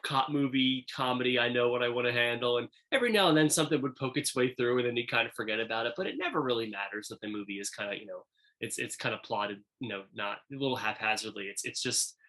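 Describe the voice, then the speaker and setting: A man co-hosting a casual movie podcast, relaxed and amused, retelling scenes and acting out bits of them. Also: medium pitch, quick pace. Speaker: podcast co-host.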